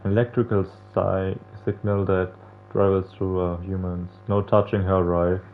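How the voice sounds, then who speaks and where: A man's voice: low-pitched, flat delivery, moderate pace. A young man streaming a game, reading its text aloud and breaking off into casual, slangy, sweary joking. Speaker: streamer playing a visual novel. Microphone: streamer microphone with face cam. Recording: narrow phone-like audio with music behind. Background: game music.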